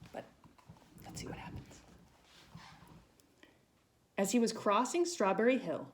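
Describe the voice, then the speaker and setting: A woman reading a children's storybook aloud as a volunteer, with dramatic pauses and emphasis. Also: on the low side, gravelly.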